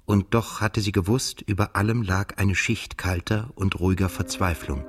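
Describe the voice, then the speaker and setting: A male narrator for a radio play with a low voice. He tells the literary story soberly and in measured phrases. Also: steady tone, husky.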